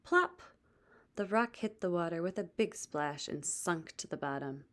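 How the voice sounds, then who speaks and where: A woman reading a children's book aloud as expressive scripted narration. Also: fairly low pitch, unhurried. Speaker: storybook reader.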